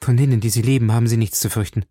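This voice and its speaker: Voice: mid-range voice, steady tone, very fast, mellow, no echo, clean studio sound, close to an everyday voice. A narrator playing an ardent, insistent gallant in his late teens, speaking eagerly and formally in an audiobook. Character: ardent, insistent young gallant man, not yet twenty. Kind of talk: audiobook character dialogue.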